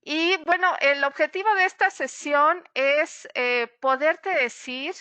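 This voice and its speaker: A woman's voice: high-pitched, slow, sharp tone, no room sound. A middle-aged psychologist woman giving a webinar talk straight to the listener, explaining patiently with frequent "eh" fillers.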